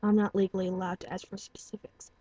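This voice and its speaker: A woman voicing a taciturn older male pony doctor who speaks in a measured, cool, stern way. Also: medium pitch, flat delivery, warm tone, breathy edge, dry recording, dull audio, lightly acted.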